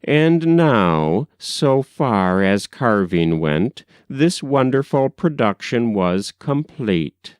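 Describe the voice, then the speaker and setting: A man reading a literary story as an audiobook narrator, in a measured, expressive storytelling delivery. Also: medium pitch, clean tone, no echo, clean studio sound. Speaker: audiobook reader.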